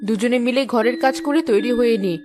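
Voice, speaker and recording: A fairly high voice of a caring, chiding mother played by a woman in a cartoon family tale. Music plays clearly underneath. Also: quick pace, lightly acted. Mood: caring, busy. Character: caring, chiding mother of two grown daughters. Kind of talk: cartoon story voice acting. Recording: music behind the voice.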